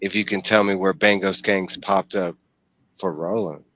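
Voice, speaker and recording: A man's voice: low-pitched, steady tone, moderate pace, fairly bright tone, slight background noise. A man in his forties hosting a casual book discussion, explaining things and putting questions to the group over a headset mic. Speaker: host of a book discussion stream. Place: small room at home, video call. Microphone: headset microphone.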